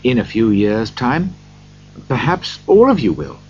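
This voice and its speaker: A man voicing a gentle, grieving father who speaks tenderly to his family, on a dull lo-fi recording. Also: mid-range voice, wildly swooping pitch, unhurried, slight background noise. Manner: tender, heartfelt.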